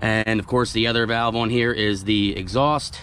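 A man with a lowish voice talks through a repair in a plain, practical way, dropping in fillers as he works. He is outdoors on a gravel lot, caught by a camera worn on his body, with a faint hum of the open air behind him. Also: fairly even intonation, normal speed, a bit bright. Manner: plain-spoken, explanatory, with frequent fillers. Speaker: truck repair technician. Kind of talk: hands-on technical demonstration.

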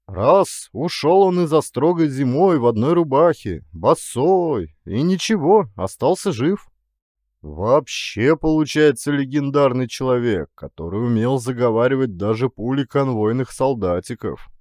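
Male audiobook reader, middle-aged, mid-pitched, expressive literary delivery, dry studio mic. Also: measured pace, no echo. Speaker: audiobook narrator and actor. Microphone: close studio condenser mic with pop filter.

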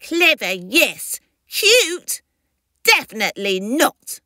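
Lady doing a cheeky baby dino boy for a storybook, high n bright, stubborn, bouncy pitch. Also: big pitch swings, extremely slow, no echo, studio quality, full character voice. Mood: cross, indignant. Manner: bold, exclaiming, insistent.

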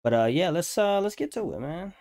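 A man's voice: high-pitched, big pitch swings, fairly quick, darkish tone, a little hiss or hum. A young man giving casual, slangy reaction commentary in a laid-back way. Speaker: reaction video host. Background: game trailer music.

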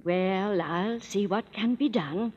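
A woman's voice: medium pitch, slow, a little mellow, slight background noise, natural voice. Give me an actress playing a homely, chiding grandmother in a dull old radio recording. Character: fussy, cheerful grandmother. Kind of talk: 1930s radio ad skit.

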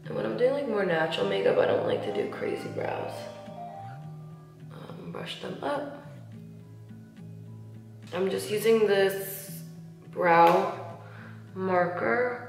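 A woman in her thirties chatting through a makeup tutorial, slightly nasal from a cold, with lots of "like" and soft asides. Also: mid-range voice, big pitch swings, slow, vocal fry, long pauses. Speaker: beauty vlogger. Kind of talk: casual makeup tutorial chat. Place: large tiled bathroom, indoors. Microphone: camera mic at close range, seated facing camera. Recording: echoey bathroom with faint music.

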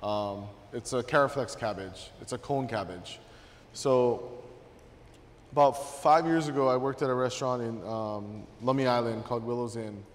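A man's voice: medium pitch, normal speed, long reverb tail, a little hiss or hum. A man in his thirties from Hawaii, a guest chef demonstrating a dish to a class, talking casually with many fillers and restarts. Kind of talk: cooking demonstration talk. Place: large teaching kitchen.

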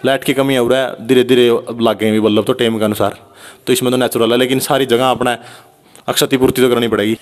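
A young man from Haryana vlogs in a casual, chatty way with a Haryanvi accent. His voice sits at an average male pitch. He speaks into a handheld phone, addressing his viewers like brothers. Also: little pitch movement, fast talker. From Haryana, India. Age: young adult. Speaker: vlogger. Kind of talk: casual vlog commentary. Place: unfinished concrete house, indoors and at doorway.

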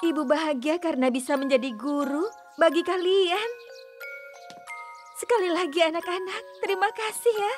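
A woman voicing a gentle, caring young deer who teaches kindergarten, speaking affectionately in a children's cartoon. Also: extremely high pitch, normal speed, music in the background. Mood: tender, grateful. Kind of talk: children's cartoon dub in Indonesian.

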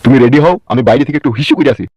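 A man's voice: medium pitch, racing, a little mellow, slightly rough, no echo, close to an everyday voice. A man voicing a gushing, lecherous newlywed lover with flowery talk in a comedy cartoon. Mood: cheeky, amorous. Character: gushing, cheeky male lover with big teeth, newly wed. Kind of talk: amateur comedy cartoon dubbing. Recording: faint music behind a dry voice.

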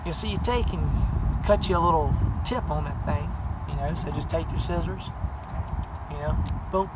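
A man's voice: on the high side, moderate pace. A man, casually showing fishing tricks to the camera outdoors, rambling with many fillers. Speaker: fishing channel host. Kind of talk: casual how-to demonstration. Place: outdoors by a pine wood. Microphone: camera close at arm's length. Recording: noisy outdoor camera audio.

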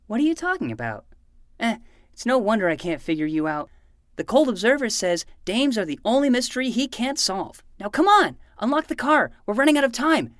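A woman's voice: fairly high pitch, big pitch swings, fairly quick, dry recording, clean studio sound. A woman voicing an earnest, bossy twelve-year-old boy who talks like a melodramatic hard-boiled detective in a fiction podcast. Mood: urgent, bossy.

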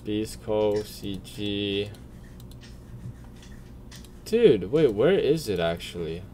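Male gaming streamer: low-pitched, highly expressive, blunt, slangy, swears freely while reacting off the cuff, slight noise. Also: extremely slow. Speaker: streamer and video creator. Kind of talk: casual reaction commentary.

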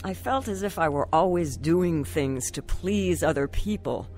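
A troubled young woman in a radio drama, confessional and anxious. Her voice sits fairly low and she speaks with raw earnestness. Faint music runs behind her. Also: measured pace, darkish tone. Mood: somber confiding. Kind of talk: radio drama testimony narration and acting.

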